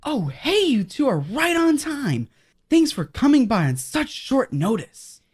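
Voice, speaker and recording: A woman's voice: high voice, sing-song, unhurried, a little mellow, a little hiss or hum. A woman playing a scheming, upbeat assistant and kids' show host in a horror comedy audio drama. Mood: chipper, businesslike.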